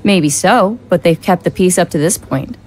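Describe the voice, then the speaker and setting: A woman acting as a shrewd female deputy to a ruler, with a low voice. She briefs in a hard-nosed, businesslike way, as in a political radio play. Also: moderate pace, natural voice. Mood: measured. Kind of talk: audio drama acting.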